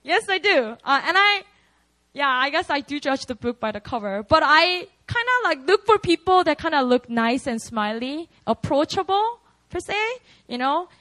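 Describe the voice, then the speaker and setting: Young korean-accented woman preaching, high voice, chatty and candid, laughs a lot. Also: lively intonation, normal speed, sharp tone. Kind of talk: prayer meeting sermon.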